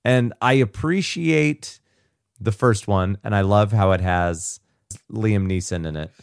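A man's voice: lowish voice, a little slow, smooth, no echo, clean studio sound. A man co-hosting a podcast in casual, sweary banter, slightly tipsy with faintly slurred speech. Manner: profane. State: tipsy on whiskey. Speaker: podcast co-host.

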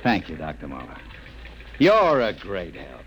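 A man's voice: low voice, huge pitch swings, measured pace, a bit bright, slight background noise, full character voice. An actor playing an impatient, domineering middle-aged general in a classic radio drama, brusque and sarcastic as he barks orders. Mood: curt, scornful. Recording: old radio recording, music behind.

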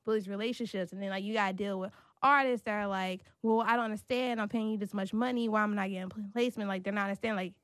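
A woman's voice: mid-range voice, steady tone, racing, hardly any pauses, fairly bright tone, no room sound. A young woman from North Carolina, raised in Washington DC, chatting casually as a guest and rambling with many fillers. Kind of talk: casual interview chat.

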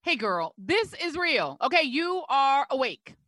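Sassy comic best friend, female reader, brash and emphatic, piercing high register, very dry close sound. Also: very animated, measured pace, bright, forceful tone, no echo, full character voice. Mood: matter-of-fact.

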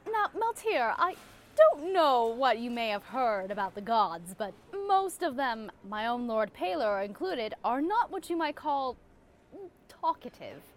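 A wry cleric of a sun god in a fantasy audio comedy. The voice is fairly high, courteous and measured, gently keeping the peace with dry understatement. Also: female voice, big pitch swings.

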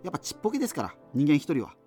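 A man's voice: fairly high pitch, wildly swooping pitch, very fast, mellow, faint music behind. A man playing a geeky, rambling radio host facing doomsday in a radio drama, talking hesitantly and digressively. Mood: resigned, musing. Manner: hesitant, digressive, self-interrupting. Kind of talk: radio drama monologue, conversational.

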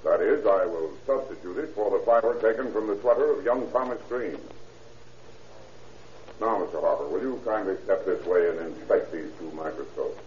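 A man playing a courteous, methodical defense attorney in an old-time radio courtroom drama, speaking formally and deliberately. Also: low voice, animated, a little mellow. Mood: confident, formal. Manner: formal, deliberate, politely lawyerly. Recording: echoey, muffled old radio recording, faint hiss.

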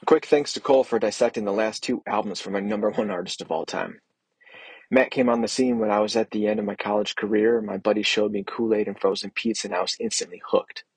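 A man's voice: on the low side, fairly even intonation, fast, slightly rough, no room sound, low-quality recording. A man in his thirties from Chicago reading a candid, grateful listener message to a podcast.

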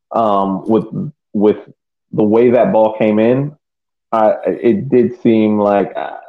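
A man co-hosting a soccer podcast, talking through casual sports analysis in halting, hesitant phrases over a narrow phone-like connection. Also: lowish voice.

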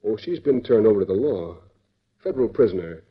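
A man playing a scheming outlaw boss who poses as a lawman, smooth and commanding, in 1940s radio acting on an old recording. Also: fairly low pitch, animated, fairly quick, dull audio.

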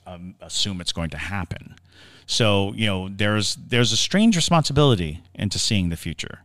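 A man co-hosting a podcast, explaining things conversationally in a halting, reflective way. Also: fairly low pitch, fairly bright tone. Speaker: podcast host. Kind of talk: conversational podcast discussion of the paranormal.

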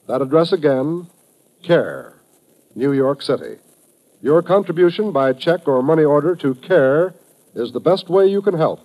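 A man with an American accent, a 1950s radio announcer reading a script in a formal, earnest, measured way. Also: medium pitch, darkish tone, rough recording.

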